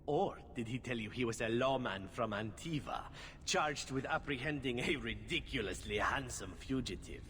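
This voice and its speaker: Actor as a lilting, roguish assassin. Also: male voice, mid-range voice, lively intonation, normal speed, fairly bright tone, big-room sound, slight background noise, natural voice. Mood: teasing, suave. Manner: teasing, theatrical, courtly. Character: roguish, flirtatious male assassin, suave and cocky. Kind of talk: video game dialogue acting.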